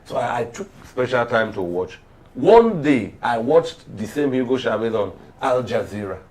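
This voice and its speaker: Man, Nigerian accent, political panel commentary, emphatic, halting delivery, repeats for stress. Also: medium pitch, sing-song, a little mellow. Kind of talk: political discussion, storytelling commentary.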